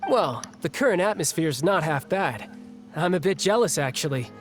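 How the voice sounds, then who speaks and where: A man's voice: fairly high pitch, animated, normal speed, faint music behind, natural voice. A man voicing a cheerful young male warrior in a video game, easygoing and friendly.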